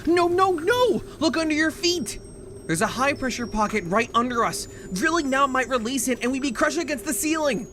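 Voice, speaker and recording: A woman voicing a small, excitable boy ice robot in a cartoonish comic dub, melodramatic and exclamatory. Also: sits high, moderate pace, slight background noise. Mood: alarmed.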